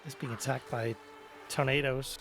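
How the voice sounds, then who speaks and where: A man giving casual, unhurried and easygoing let's play commentary while gaming. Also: medium pitch, fairly even intonation, over music. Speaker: game streamer doing a let's play.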